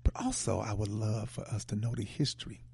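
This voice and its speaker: A man hosting a talk show, giving closing remarks in a halting way and repeating words as he starts over. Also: on the low side, gravelly, dark tone, slight background noise. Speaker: radio show host.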